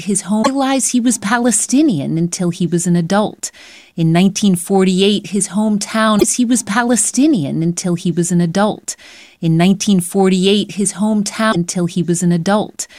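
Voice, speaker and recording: A woman's voice: mid-range voice, darkish tone, dry recording, clean studio sound. Woman narrating a news report.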